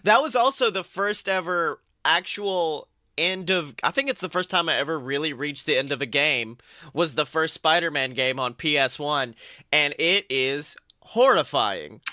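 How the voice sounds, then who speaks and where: An excitable man co-hosting a podcast, his voice sitting high and ringing bright. He swears freely and laughs a lot as he chats, and the sound is close and dry with no room echo. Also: normal speed. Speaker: podcast co-host. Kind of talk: casual pop-culture chat.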